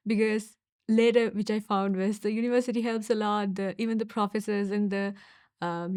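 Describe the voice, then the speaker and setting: A young woman from Sri Lanka, a university student giving a casual testimonial, thoughtful and unhurried with small pauses and fillers. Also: mid-range voice, a little mellow, no echo, clean studio sound.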